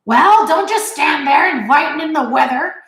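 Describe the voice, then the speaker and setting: A woman's voice: high-pitched, sing-song, slow pace, voice pushed up, full character voice. A woman doing a roaring, hot-tempered old sea captain. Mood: blustering. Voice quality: loud blustering bellow. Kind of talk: audiobook character voice. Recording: roomy echo.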